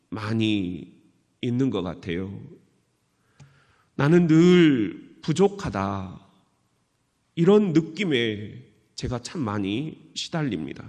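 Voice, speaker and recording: A man's voice: medium pitch, darkish tone. A middle-aged male pastor giving a sermon in a church, speaking candidly and with self-deprecating stories. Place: church sanctuary. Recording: reverberant church hall.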